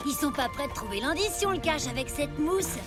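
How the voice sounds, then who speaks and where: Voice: high-pitched, animated, fairly quick. A performer voicing a bratty, scornful prehistoric child bully in a French cartoon, with a sneering, mocking delivery. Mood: scheming. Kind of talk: French animated cartoon dubbing. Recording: music bed under the voice.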